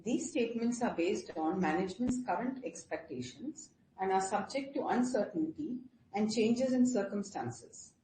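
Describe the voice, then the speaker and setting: A woman's voice: medium pitch, lively intonation, normal speed, hardly any pauses, dark tone. A woman reading a formal scripted statement as moderator of a corporate earnings call. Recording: roomy echo, faint background noise.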